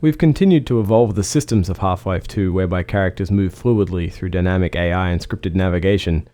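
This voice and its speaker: Quick-talking, mellow, lowish-voiced man. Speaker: game developer. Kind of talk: scripted developer commentary.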